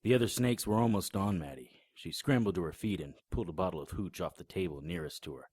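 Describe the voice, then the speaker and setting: A man voicing a hard-boiled 1920s private eye, narrating in a laconic, deadpan noir style. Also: low voice, quick pace, slightly rough, dry recording, clean studio sound. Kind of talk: first-person noir narration.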